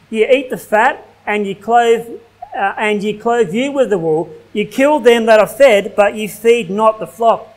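An Australian man in his thirties delivers a sermon from a pulpit in a small church hall. His voice sits high for a man, and his talk mixes plain everyday phrasing with formal scripture reading. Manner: preaching at a pulpit, reading King James verses aloud, casual fillers like "like". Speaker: pastor.

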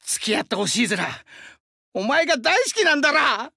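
A male actor voicing a burly, friendly young sumo-wrestler god in an anime game, with rough, hearty speech and a rural Shinshu Japanese dialect. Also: very high voice, sing-song, brisk, sharp tone, no room sound, clean studio sound, heavily acted. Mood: earnest. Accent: rural central Japanese dialect (Shinshu). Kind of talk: anime game character voice lines.

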